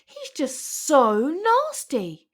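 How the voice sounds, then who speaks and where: A woman voicing an excitable, earnest female lizard in a children's storybook. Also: sits high, extremely slow, voice pushed up, exaggerated voice. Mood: upset. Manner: crying out, earnest.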